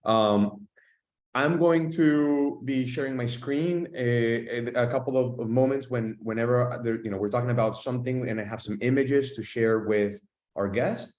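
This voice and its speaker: A man's voice: medium pitch, moderate pace, a little mellow. A man hosting an online webinar, welcoming his guests and laying out the plan, speaking loosely with fillers. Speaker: webinar host. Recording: echoey room.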